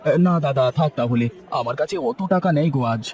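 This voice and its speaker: Fast-talking, put-upon son played by a man. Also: medium pitch, darkish tone, slight background noise, close to an everyday voice. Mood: pleading. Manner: grumbling, exasperated, pleading. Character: poor, put-upon grown son, street snack seller. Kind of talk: cartoon voice acting. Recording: faint music bed behind.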